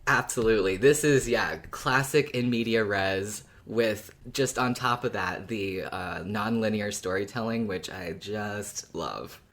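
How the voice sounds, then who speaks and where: A podcast speaker in a casual fan discussion, talking in an animated, colloquial way. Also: mid-range voice, unhurried, few pauses. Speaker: podcast co-host or guest.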